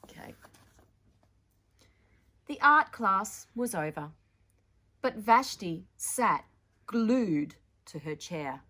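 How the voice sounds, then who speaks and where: A woman's voice: mid-range voice, animated, very slow, pauses often, bright, forceful tone. A woman presenting a children's storytime, reading a picture book theatrically with dramatic emphasis and chatty asides to young listeners.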